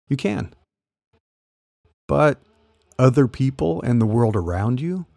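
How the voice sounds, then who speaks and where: Gravelly-voiced man coaching on careers. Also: on the low side, darkish tone, no echo, clean studio sound. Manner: conversational, explanatory, light laughs. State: losing his voice. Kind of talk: solo podcast talk.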